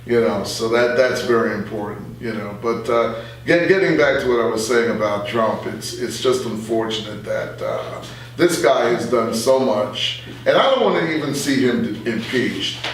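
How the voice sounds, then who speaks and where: Male interviewee, middle-aged, mid-pitched, earnest and halting, heard in a strongly echoing room. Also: slight background noise. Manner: earnest, halting delivery with repeated phrases. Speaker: radio journalist interview guest. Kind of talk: conversational political interview. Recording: very echoey room, far from the mic.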